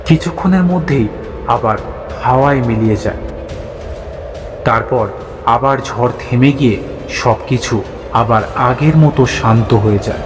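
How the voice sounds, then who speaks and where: A man's voice: medium pitch, unhurried, pauses often. Male narrator for horror audio fiction, scripted storytelling delivery, reverberant voice over a music bed. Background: background music.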